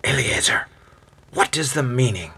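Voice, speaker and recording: A man voicing an indignant, defensive sorcerer for hire with a raspy voice in a dramatized story. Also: medium pitch, animated, unhurried, pushed and bright, pitched above the performer's own voice, heavily acted. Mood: startled. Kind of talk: acted storytelling dialogue.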